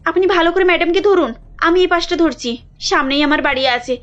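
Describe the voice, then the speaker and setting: A woman's voice: extremely high pitch, brisk, lo-fi sound. A woman voicing a humble, poor young working woman in a cartoon, earnest, caring and deferential. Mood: urgent. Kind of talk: cartoon dialogue.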